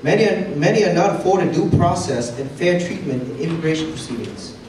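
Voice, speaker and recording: A young man with a slightly high voice reads a prepared speech from his notes into a handheld mic. His words echo heavily through a large hall, and the recording catches him from far off with some noise. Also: expressive, fairly quick. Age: young adult. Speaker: community speaker. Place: large meeting hall, indoors. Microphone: handheld mic, far camera. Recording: echoey hall, recorded from afar.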